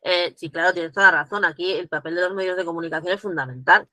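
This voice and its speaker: Woman on a video call, bright forceful voice, very dry sound, talks fast and acts out quotes. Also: fairly low pitch, no echo. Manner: animated, acts out quoted dialogue, frequent restarts. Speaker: guest speaker. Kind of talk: virtual conference talk, answering questions.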